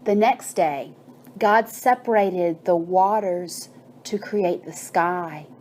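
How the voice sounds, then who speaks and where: A woman narrating a bedtime story and guided meditation in a soothing, measured manner with drawn-out phrases. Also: on the low side, darkish tone, a little hiss or hum.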